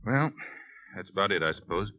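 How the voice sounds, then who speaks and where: A blunt police captain in a fifties radio drama. The voice is deep and forceful, on a dull old recording. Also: male voice, animated, a little fast, dry recording, full character voice. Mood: businesslike. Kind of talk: 1950s radio drama acting.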